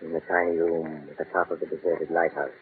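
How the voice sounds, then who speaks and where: A creepy old doc telling a spooky tale, super deep voice, tinny old radio. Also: male voice, flat delivery, a little fast. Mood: sinister. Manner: sinister, theatrical. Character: creepy old male storyteller doctor with a skull. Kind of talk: 1930s radio horror host narration. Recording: lo-fi old radio broadcast, faint hiss.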